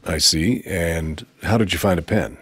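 An actor playing a stern, skeptical military officer in a video game, questioning a prisoner in a dry, formal way. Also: male voice, low voice, measured pace, a bit bright, husky, dry recording, faint music behind, heavily acted.

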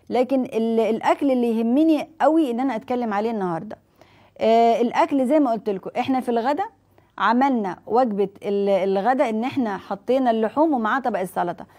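Egyptian woman in her 40s, TV health doctor, rapid and mellow, poses her own questions, lapel mic. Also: on the high side. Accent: Egyptian Arabic. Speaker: nutrition doctor hosting a TV health show. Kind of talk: explaining diet advice to viewers. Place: TV studio set, indoors.

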